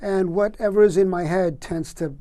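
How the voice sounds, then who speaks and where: A man's voice: high voice, fairly even intonation, normal speed, mellow. A middle-aged man from Cuba, a poet guest, answering questions in a wry, joking, easygoing way. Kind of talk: interview answers.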